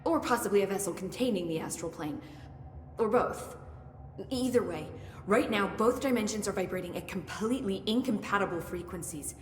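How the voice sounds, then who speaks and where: A woman's voice: mid-range voice, animated, normal speed, lightly acted. A woman playing an earnest, analytical, cautious research scientist in a video game cutscene, with a precise, urgent way of explaining. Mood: analytical, grave. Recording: echoey hall, faint noise.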